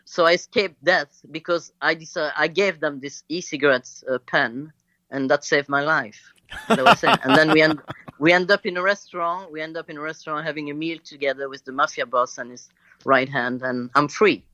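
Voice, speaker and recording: A man from Belgium, a native French speaker with a French accent, telling a story as a guest over a video call, halting and full of fillers. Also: fairly high pitch, fairly even intonation, bright, forceful tone, no room sound. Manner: hesitant, halting with many fillers. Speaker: guest actor. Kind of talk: casual interview storytelling. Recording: lo-fi call line.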